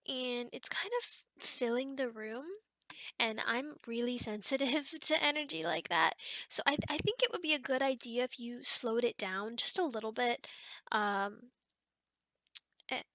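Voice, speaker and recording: Woman acting a chatty female sleep demon, high-pitched, bright, hesitant, rambling, apologetic, whisper-prone, ASMR roleplay. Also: no room sound.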